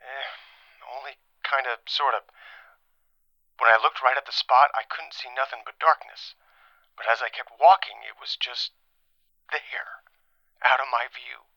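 An actor playing a rattled, defensive late-night radio caller in an audio drama, speaking haltingly and colloquially through a telephone filter. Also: male voice, mid-range voice, steady tone, vocal fry, pushed and bright, dry recording, full character voice. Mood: uneasy, hushed. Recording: muffled, narrow phone-line sound.

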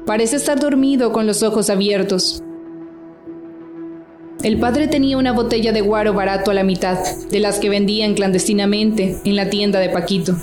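A woman's voice: medium pitch, fairly even intonation, normal speed. A woman reading scripted narration for a radio drama. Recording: roomy echo, faint music underneath.

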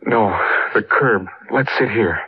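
An actor playing a bewildered, stubborn young husband from the country in an old-time radio drama, with a husky voice. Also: male voice, lowish voice, takes it slowly. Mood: tense, puzzled. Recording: dull, lo-fi old radio recording.

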